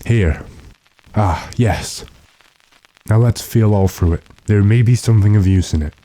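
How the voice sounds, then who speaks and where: Man playing a deep, dark-voiced commanding gentleman. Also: unhurried, a little hiss or hum, natural voice, rough recording. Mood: resolute. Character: weary, decisive gentleman master lost in a storm. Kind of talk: old-time radio drama acting.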